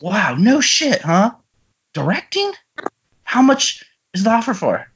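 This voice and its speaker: Casting: man voicing excitable actor in his twenties, highish animated voice, comedic read. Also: takes it slowly, heavily acted. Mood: incredulous. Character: eager, foul-mouthed young male film actor in his twenties. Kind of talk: comedic amateur script table read. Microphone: remote video-call microphone. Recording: muffled internet call, faint noise.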